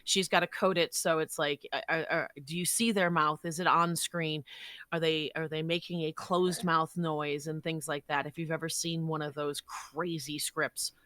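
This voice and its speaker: A woman's voice: low voice, level tone, moderate pace, fairly bright tone, no echo. A woman chatting casually about her work as a guest on a podcast. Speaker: guest voice actor.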